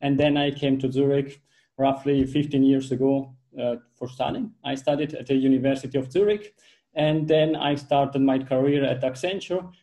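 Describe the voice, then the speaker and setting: Italian-accented man, mellow voice. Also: medium pitch, normal speed. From Ticino, southern Switzerland. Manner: earnest, with frequent restarts and fillers. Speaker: guest. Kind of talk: casual interview talk. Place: home office.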